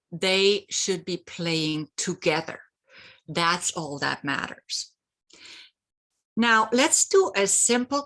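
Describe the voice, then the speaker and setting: A woman's voice: fairly low pitch, pushed and bright. A middle-aged woman whose first language is German, hosting a reflective solo talk about heart and courage and leading a short exercise, earnest and repeating herself with small restarts. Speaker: host.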